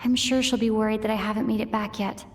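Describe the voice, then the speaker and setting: A woman's voice: mid-range voice, little pitch movement, fast, soft background music, natural voice. An actress playing a caring, thoughtful young female traveler in a video game, musing to herself. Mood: pensive. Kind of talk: video game voice acting, inner monologue.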